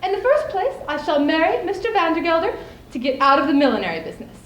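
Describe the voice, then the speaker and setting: High-pitched theatrical widow, played by a young woman. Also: sing-song, a bit bright, exaggerated voice. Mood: indignant, spirited. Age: young adult. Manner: emphatic, theatrical, with deliberate pauses. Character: spirited, scheming widow, feisty and reflective. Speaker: student speech team performer. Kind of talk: theatrical dramatic monologue on stage. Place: indoor school showcase stage. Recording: echoey room, clearly audible background noise.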